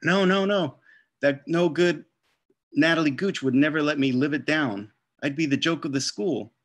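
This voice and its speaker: A man's voice: a little high, normal speed, natural voice. A man voicing a young girl who loves bubble gum, pleading and dramatic, over a video call.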